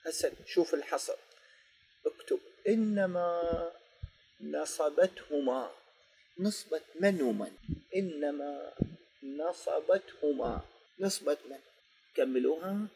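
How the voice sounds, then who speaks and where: A man with an Iraqi Arabic accent teaching a grammar lesson, dictating slowly and quizzing his students before answering his own questions. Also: a little high, creaky, darkish tone, slightly rough, poor audio.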